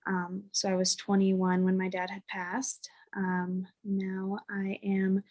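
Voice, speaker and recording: A woman's voice: mid-range voice, monotone, slow, a little mellow. A woman in her twenties from South Dakota, introducing herself as a panel guest in a matter-of-fact way. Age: 20s.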